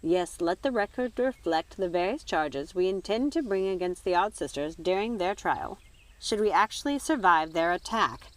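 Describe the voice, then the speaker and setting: A woman's voice: on the low side, lively intonation, normal speed, dry recording, lightly acted. A woman voicing a stern, sensible old fairy nanny in a storybook, firm, businesslike and impatient.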